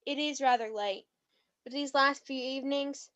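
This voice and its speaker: An actress playing a gentle, concerned daughter in a radio play, in a slightly dull recording. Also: female voice, high-pitched, steady tone, moderate pace.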